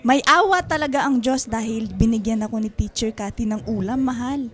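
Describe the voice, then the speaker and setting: A young married Filipina woman, played by an actress, speaks in a high, expressive voice. She is an affectionate wife and quietly exasperated daughter in a radio drama. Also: moderate pace, natural voice. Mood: sweet, affectionate.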